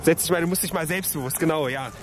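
A man's voice: fairly high pitch, quick pace, background noise. A young man in a street prank comedy sketch, talking as a cocky, self-styled pickup coach outdoors on a city square.

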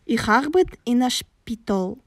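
Woman slowly repeating language phrases. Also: a little high, very animated, dry recording, clean studio sound. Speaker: language lesson speaker. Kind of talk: slow repeated phrase reading.

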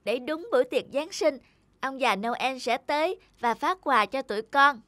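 A woman's voice: high voice, lively intonation, a little slow, fairly bright tone, dry recording. A woman voicing an eager young cartoon schoolboy, childlike and polite. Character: eager young schoolboy talking to his mother. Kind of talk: cartoon dialogue.

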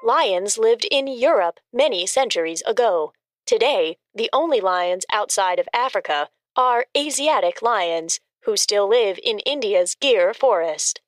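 A woman giving scripted informational narration in a matter-of-fact, deadpan manner. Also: medium pitch, unhurried, dry recording, clean studio sound, faint music behind.

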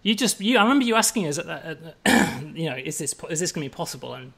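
An easygoing man chatting with a colleague, voice sitting a little high for a man. He rambles relaxedly, restarting phrases and laughing along. The tone is casual and unhurried. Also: very animated, creaky, a bit bright. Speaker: game designer.

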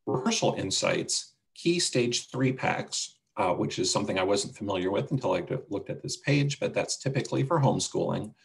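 Low, gravelly man presenting a webinar. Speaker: product presenter from a publisher. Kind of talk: webinar demonstration and Q&A. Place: indoors on a video call. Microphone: video call microphone.

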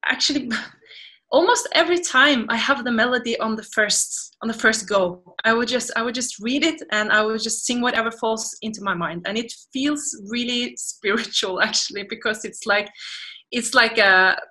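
A woman from Norway with a Norwegian accent, a guest singer answering interview questions on a video call from a plant-filled room at home, animated and laughing as she talks. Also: a little high, moderate pace. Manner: animated, gestures a lot, laughs while talking. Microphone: video call webcam.

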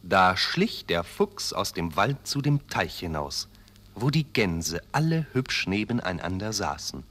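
Slow, expressive male fairy-tale narrator. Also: low voice. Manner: deliberate, dramatic phrasing. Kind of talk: expressive scripted storytelling for children.

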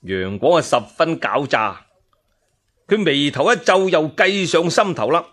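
A man's voice: fairly high pitch, lively intonation, a little slow, a bit bright. A man with a Cantonese accent narrating as a storyteller in a dramatic, traditional radio style.

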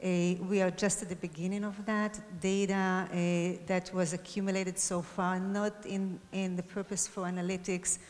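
A woman talking on a conference stage into a handheld mic, speaking at a slightly low pitch. She talks fluently but fills her sentences with "uh" and corrects herself along the way. Her voice carries a long reverb from the big hall. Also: little pitch movement, normal speed, hardly any pauses, darkish tone. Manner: fluent, many fillers, self-corrects. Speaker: panelist, hospital data and AI head. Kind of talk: panel discussion answer.

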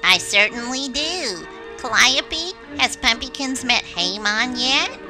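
A woman plays a kindly male bird in a children's show. The voice is high and cheery, taking its time. It sounds polite and friendly over clear background music. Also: lively intonation, slow pace. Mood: cheerful. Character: friendly, chirpy male early-rising bird.

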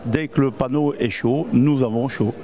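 A middle-aged man explaining his heating product at an exhibition stand, pausing often with hesitations. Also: mid-range voice, steady tone, warm tone. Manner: hesitant, with many "euh".

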